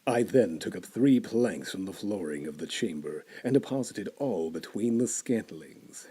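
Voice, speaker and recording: Guy reading a horror classic real slow, low husky voice, goes all whispery then worked up. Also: expressive. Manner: theatrical, dropping to hushed whispers and building to intense outbursts. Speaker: storyteller.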